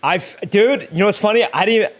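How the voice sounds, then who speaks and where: A man's voice: high-pitched, quick pace. A young man chatting casually and thinking aloud as he works, rambling in slangy, sweary language. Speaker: YouTuber livestreaming thumbnail making. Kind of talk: casual chat, thinking aloud while working.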